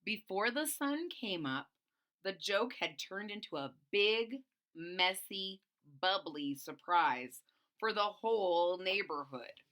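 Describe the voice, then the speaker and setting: A woman reading a children's storybook aloud as host, expressive, with deliberate emphasis and explanatory asides. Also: medium pitch.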